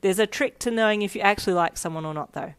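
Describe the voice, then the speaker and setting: A woman voicing a teasing, pushy, excitable schoolgirl best friend in a story, with an animated, emphatic manner. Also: mid-range voice, fast talker, smooth, natural voice. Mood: confident, bossy.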